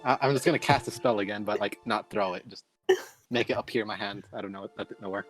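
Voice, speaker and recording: A man's voice: lowish voice, fast, fairly bright tone, low-quality recording. A man casually improvising what his character does in a tabletop game. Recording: music playing behind.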